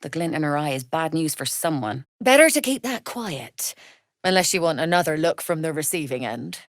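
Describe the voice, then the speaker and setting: Wry female smuggler, lively intonation. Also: low-pitched, moderate pace, no echo, studio quality. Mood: wry, cool. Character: wry, shrewd female smuggler-merchant, coolly amoral. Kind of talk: video game character acting.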